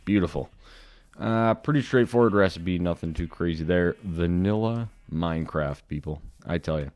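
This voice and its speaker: A young man with a deep, low voice talks his viewers through a modded Minecraft playthrough in a relaxed, chatty way. His throat sounds a little dry and scratchy. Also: moderate pace, dry recording. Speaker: gaming video host. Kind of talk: casual Minecraft modpack commentary.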